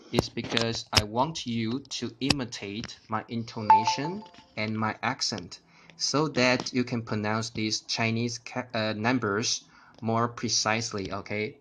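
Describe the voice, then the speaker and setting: A man's voice: fairly low pitch, bright, forceful tone. A man teaching a language lesson, patiently repeating each number word by word for learners to copy. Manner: slow, patient repetition, drilling each word.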